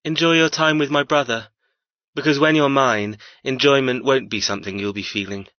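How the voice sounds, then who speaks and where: A man playing a cold, arrogant young heir in an audiobook, threatening and deliberate. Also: medium pitch, fairly even intonation, no echo, highs cut off, close to an everyday voice. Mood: sneering threat. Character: cold menacing heir, cruel and possessive brother. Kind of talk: dramatic audiobook character acting.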